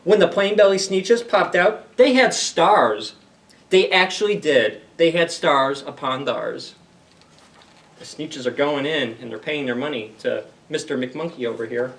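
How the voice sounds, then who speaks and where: A man reading a storybook aloud to children in an animated way, pausing to explain simply and ask questions. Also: on the high side, distant mic. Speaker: library story time reader.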